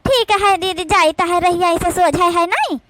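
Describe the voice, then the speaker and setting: A woman's voice: very high-pitched, normal speed, fairly bright tone, dry recording, clean studio sound. A woman voicing a sly, work-shy village daughter-in-law in an Awadhi comedy cartoon, with an Awadhi accent and an evasive, rambling manner. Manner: evasive, rambling with filler phrases.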